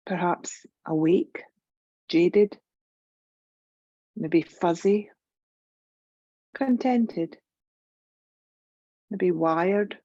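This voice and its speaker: Very slow, mellow woman guiding meditation. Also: fairly low pitch, no echo, lo-fi sound. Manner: gentle, measured, soothing, with long pauses. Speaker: yoga nidra meditation guide. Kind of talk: guided relaxation meditation.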